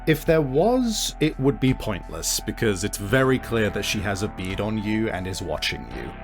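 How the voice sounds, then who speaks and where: Male game master, lower register, conversational improvised storytelling aimed straight at a player. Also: very animated, moderate pace, soft background music. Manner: descriptive, conversational, addressing the player directly. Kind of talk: improvised tabletop game narration.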